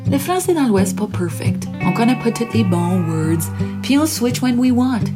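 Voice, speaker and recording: A woman from Alberta with an Alberta farm French accent, reciting bilingual spoken word poetry in a slow, emphatic, defiant way. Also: on the high side, very animated. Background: guitar music.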